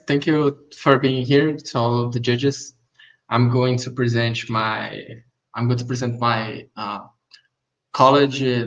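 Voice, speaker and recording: Slow halting man, Portuguese accent. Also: mid-range voice, fairly bright tone. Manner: halting, many fillers and restarts. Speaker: presenter at demo day. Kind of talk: nervous project pitch. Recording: dull lo-fi sound.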